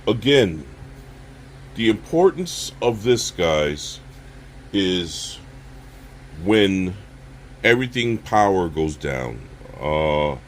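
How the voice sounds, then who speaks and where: A man casually demonstrating a product as a reviewer, speaking haltingly and addressing viewers directly. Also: low-pitched, sing-song, slight background noise.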